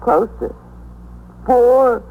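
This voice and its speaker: A woman's voice: on the high side, expressive, very slow, phone-quality sound. An actress playing a groggy, indignant young American woman with a whiny, slangy manner in 1950s radio drama style. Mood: evasive, groggy. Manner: whiny, slangy, put-upon. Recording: noisy 1950s radio recording.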